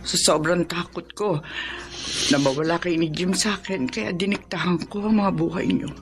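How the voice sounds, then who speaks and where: A woman's voice: fairly low pitch, steady tone, moderate pace, natural voice. An actress playing a devoted, emotional mother in a Filipino radio drama. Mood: tender, remorseful. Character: devoted, tearful mother of two sick sons. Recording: noisy ambience behind the voice.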